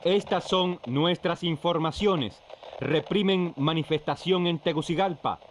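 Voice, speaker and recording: A man's voice: a little high, measured pace, slight background noise. A man reading news headlines as a radio newsreader, in an archive broadcast.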